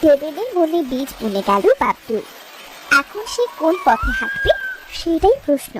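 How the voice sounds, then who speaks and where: High, animated woman storyteller. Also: moderate pace, heavy background noise. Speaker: narrator. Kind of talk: scripted story narration for a cartoon.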